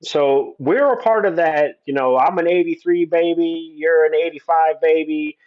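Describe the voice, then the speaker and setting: A man's voice: a little high, moderate pace, narrow, tinny audio. A man in his forties, a guest on a livestream, talking earnestly and at length with many restarts. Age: 40s. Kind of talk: opinionated conversation.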